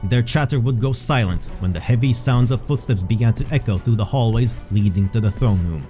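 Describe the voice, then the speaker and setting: Guy narrating a fantasy audio story, dark mellow voice, bit of music behind him. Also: mid-range voice, fairly quick. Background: background music.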